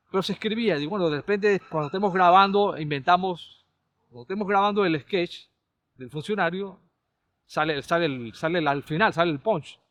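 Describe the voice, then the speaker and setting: A man's voice: on the high side, fairly bright tone, dry recording. Panamanian man telling stories, dry close sound. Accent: Panamanian Spanish. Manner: rambling, many restarts and repeated words. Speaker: caricaturist and comedy writer, guest. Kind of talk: relaxed conversational storytelling.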